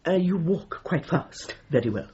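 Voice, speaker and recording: An actress playing a brisk, bossy, unsentimental middle-aged dog trainer in a comic radio drama, with an imperious, precise, patronising manner. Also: female voice, on the low side, lively intonation, warm tone, highs cut off, slight background noise, close to an everyday voice. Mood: probing, officious.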